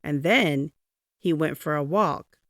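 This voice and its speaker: A woman's voice: low-pitched, takes it slowly, a bit bright, no room sound, clean studio sound. A woman storyteller narrating a children's picture book expressively, drawing out key words for dramatic emphasis. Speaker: read-aloud storyteller.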